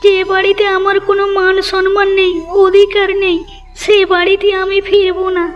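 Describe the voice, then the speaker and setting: A woman's voice: very high voice, completely flat delivery, normal speed. A woman voicing a hurt, stubborn young village woman, plaintive and insistent, in a moral-story cartoon. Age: young adult. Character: wounded, stubborn young village woman, about twenty-two. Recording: dull audio with music underneath.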